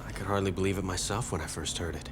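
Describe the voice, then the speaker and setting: Man playing a deep-voiced, weary soldier. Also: steady tone, rapid-fire, natural voice. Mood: troubled. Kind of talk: scripted video game acting. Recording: clear vehicle-like ambient noise.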